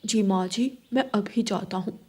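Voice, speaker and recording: An obedient male bird in a cartoon performed by a woman. He is a submissive mother's boy. The voice is slightly husky and gives in without argument. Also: mid-range voice, unhurried, a little mellow. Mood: dutiful. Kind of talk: Hindi cartoon story acting.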